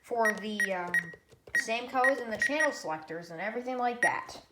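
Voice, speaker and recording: A woman giving a casual, unscripted gadget demonstration in a rambling, halting way, often correcting herself. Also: a little high, animated. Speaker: product reviewer. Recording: echoey room, loud background noise.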